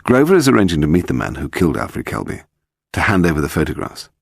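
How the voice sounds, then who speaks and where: A composed male detective read by a male narrator talks with brisk authority in an audiobook mystery. His delivery moves widely in pitch, recorded close and dry. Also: wildly swooping pitch, gravelly, breathy edge, no room sound, heavily acted. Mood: calm confidence. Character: Paul Temple, composed, commanding male amateur detective.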